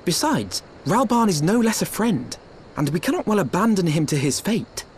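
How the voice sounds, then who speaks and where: A man's voice: high voice, big pitch swings, normal speed, a little hiss or hum. An actor playing an earnest, well-bred young nobleman in a video game, formal and courtly. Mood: solemn resolve. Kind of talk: dramatic video game acting.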